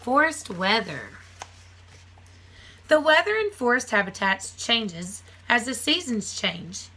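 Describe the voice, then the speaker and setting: A woman's voice: fairly high pitch, very animated, takes it slowly, sharp tone, rough recording. A woman teacher reading a children's nonfiction book aloud, phrasing it simply for young listeners with casual asides.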